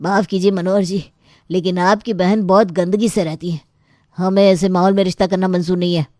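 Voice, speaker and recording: Woman acting a stern, indignant father, mellow tone. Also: on the low side, fairly even intonation, a little fast, dry recording, studio quality, natural voice. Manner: firm, indignant. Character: stern, proud father of a prospective groom.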